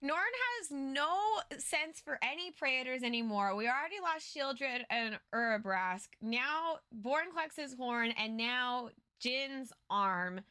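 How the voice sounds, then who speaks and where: A woman with a bright, fairly high voice talks in a dry-sounding space. She gives casual commentary as a show co-host, explaining things earnestly. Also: animated, slow, few pauses, smooth, no echo. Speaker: co-host of a story recap show.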